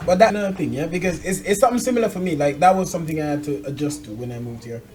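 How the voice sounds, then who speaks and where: A man's voice: fairly high pitch, rapid-fire, recorded from a distance. Casting: male podcast host, informal and conversational interviewing style. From Jamaica. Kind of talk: casual interview chat.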